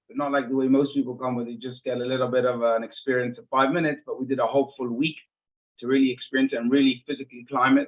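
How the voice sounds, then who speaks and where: A man from Israel, a rabbi and interview guest, telling stories conversationally, often repeating and rephrasing as he explains. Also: medium pitch. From Israel, born and raised in Jerusalem. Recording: muffled phone-like narrowband audio.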